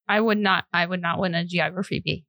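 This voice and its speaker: A woman's voice: fairly low pitch, steady tone, brisk, gravelly, fairly bright tone, no echo, clean studio sound. A woman co-hosting a podcast, reacting to a story in casual, playful banter. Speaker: podcast co-host.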